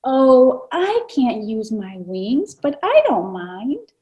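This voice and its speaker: A woman's voice: fairly high pitch, sing-song, slow pace, dark tone, highs cut off. A woman voicing a cheerful, confident parrot in a children's storybook, with an emphatic delivery. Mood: proud, upbeat. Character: cheerful, confident flightless kakapo parrot, self-accepting.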